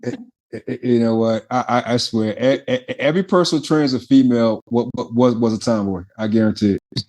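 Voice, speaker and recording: A man's voice: medium pitch, a little fast, a little mellow. A man hosting a podcast interview, enthusiastic in a casual way, often stammering and repeating his words. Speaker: podcast host.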